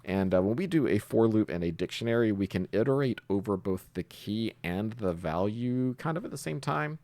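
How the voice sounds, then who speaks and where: A man giving a casual screencast coding tutorial, talking haltingly as he thinks aloud while typing. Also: lowish voice, expressive, a little mellow, no room sound. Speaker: programming tutorial host.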